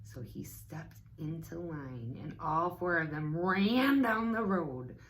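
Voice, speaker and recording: An American woman reads a picture book to small children at a slow pace. Her voice sits a little low and swings widely as she turns aside to talk to her listeners. Faint noise sits behind her. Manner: expressive, pausing to talk to young listeners. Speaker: teaching assistant reading to children. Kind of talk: read-aloud children's story time.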